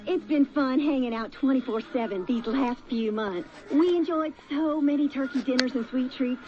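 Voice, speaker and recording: A woman's voice: sits high, moderate pace, lightly acted. A woman voicing a clingy pair of sweatpants as a sassy, worn-out girlfriend in a radio commercial. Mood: sassy, weary. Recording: dull radio ad, faint music.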